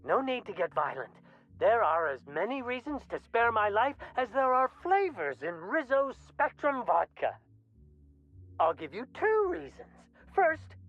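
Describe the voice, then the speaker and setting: An actress playing a jittery, folksy company mascot in a comic video game, flustered and theatrical, with a muffled sound. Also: female voice, a little high, sing-song, moderate pace. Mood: nervous bargaining.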